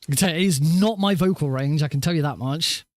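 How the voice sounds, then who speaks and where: A man with a naturally high voice, rambling casually and self-deprecatingly as he hosts a gaming livestream. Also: quick pace. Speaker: livestreaming speedrunner and host. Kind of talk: casual livestream chat over gameplay.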